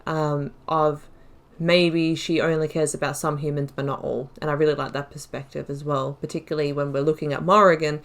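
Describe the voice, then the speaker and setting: Low voiced gamer woman doing a let's play, chatty, thinking out loud, casual. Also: little pitch movement, a little fast, creaky, hardly any pauses. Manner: chatty, musing, thinking aloud. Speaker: gaming YouTuber.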